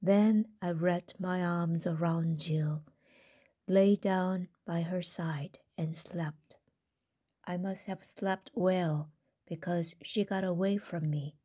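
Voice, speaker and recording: A woman reading a first-person story aloud in a gentle, deliberate manner. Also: on the low side, fairly even intonation.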